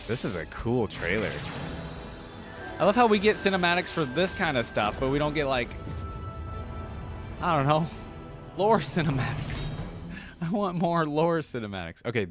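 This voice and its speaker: A man's voice: medium pitch, very animated, normal speed, long pauses, music in the background. A man giving casual reaction commentary as a video host, chatty and enthusiastic as he thinks aloud.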